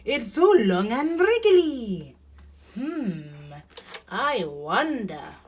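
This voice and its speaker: A woman voicing a young male elephant in a children's story, plaintive and eager, with exclaiming, drawn-out delivery. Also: medium pitch, very animated, voice pushed up. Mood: excited, happy.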